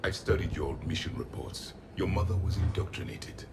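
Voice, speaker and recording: An actor voicing a cold, pompous ancient alien warrior in a video game, with measured, unsympathetic delivery. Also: male voice, rumbling low, vocal fry, noisy background. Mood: cold, stern. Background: music.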